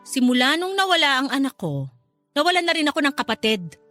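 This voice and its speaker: A woman's voice: high voice, big pitch swings, a little fast, sharp tone, full character voice. A Filipino woman playing a cold, unforgiving older sister and grieving mother who speaks reproachfully in a radio drama. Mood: bitter. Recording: music under the dialogue.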